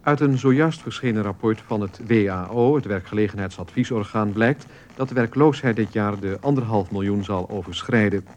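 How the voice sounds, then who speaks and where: An actor playing a sober male radio newsreader, reading a scripted bulletin in a formal, measured way. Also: fairly low pitch, darkish tone, a little hiss or hum, close to an everyday voice.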